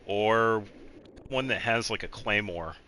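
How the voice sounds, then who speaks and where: A man giving casual video game commentary as he guides another player, explaining things in a halting, meandering way. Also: fairly low pitch, pushed and bright, slight background noise.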